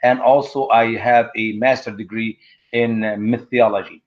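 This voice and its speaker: Man, animated and lo-fi. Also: medium pitch, moderate pace. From Egypt. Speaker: guest. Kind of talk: conversational storytelling.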